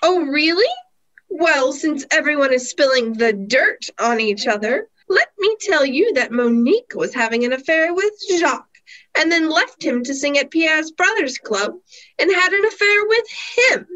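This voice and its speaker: A woman's voice: high-pitched, expressive, normal speed, fairly bright tone, dull audio. A woman playing a flustered, defensive nightclub singer with secrets in a comic radio mystery. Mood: indignant, defensive.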